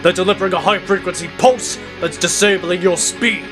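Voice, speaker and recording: A man's voice: high-pitched, fairly quick, pitched above the performer's own voice, lightly acted. A man acts out a vigilante archer in a superhero audio drama. He is stern and terse, with music behind every line. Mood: grim, confident.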